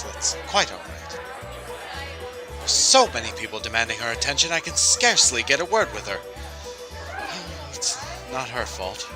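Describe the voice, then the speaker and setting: A man playing a nervous, boastful, lovesick schoolmaster with a fussy, hesitant manner in an old-time radio drama style. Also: mid-range voice. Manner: stammering, fussy, genteel, hesitant. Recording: music underneath.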